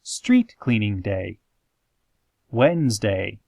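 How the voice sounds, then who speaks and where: A man playing a calm, formal small-town radio host, narrating a parody horror-comedy show in measured, deadpan phrases with drawn-out dramatic moments. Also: on the low side, vocal fry, no room sound, studio quality, exaggerated voice. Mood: calm, ominous deadpan. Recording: music playing behind the voice. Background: soft guitar music.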